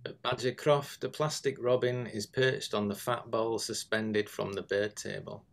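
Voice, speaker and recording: Creaky-voiced man reading prose. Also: on the low side, steady tone, a little slow. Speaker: reader. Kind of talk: scripted literary narration.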